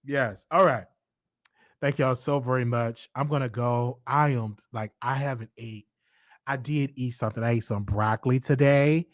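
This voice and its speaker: A man's voice: mid-range voice, steady tone, normal speed, no room sound, studio quality. A man in his thirties with a Southern US accent, casually chatting with viewers as host of a live stream, laughing often and repeating himself. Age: 30s.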